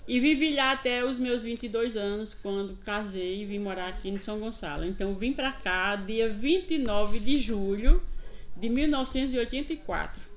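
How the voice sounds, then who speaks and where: An older retired schoolteacher with a Northeast Brazilian accent speaks in a somewhat high voice. She recounts her past reflectively, slowing down to stress what matters. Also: slight background noise. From Paraíba, Brazil. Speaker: retired teacher, interviewee. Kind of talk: casual interview, recounting memories.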